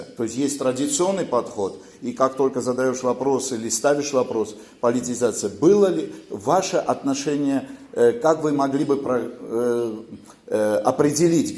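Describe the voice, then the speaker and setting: A man in a conference audience asking a long question with hesitant pauses and many fillers. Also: medium pitch, a little mellow, echoey room. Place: conference hall.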